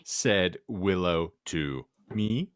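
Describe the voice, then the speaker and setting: A man's voice: low voice, lively intonation, drawn-out words, smooth, no echo, clean studio sound. A man hosting a podcast, giving a comic, mock-serious dramatic reading of fan fiction. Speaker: podcast host and reader.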